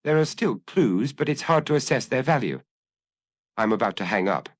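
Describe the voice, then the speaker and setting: A man acts out a lonely, unhappy killer in an audiobook detective story. The lines are terse, guarded and edgy, with restless swings in pitch and a dry sound. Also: lively intonation, moderate pace, no room sound, lightly acted. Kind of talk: acted audiobook dialogue.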